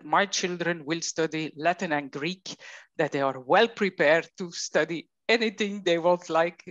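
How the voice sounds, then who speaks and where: Middle-aged flemish belgian woman, coach being interviewed, real slow and careful, dry sound. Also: low voice, no room sound, lo-fi sound. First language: Dutch. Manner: slow, thoughtful, with frequent fillers. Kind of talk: conversational interview.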